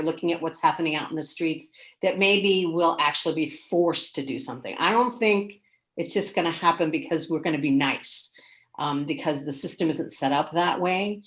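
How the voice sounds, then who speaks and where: A woman speaking earnestly and frankly as a panelist in an online discussion. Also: low voice. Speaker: panelist with planning background. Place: remote video call. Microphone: video call.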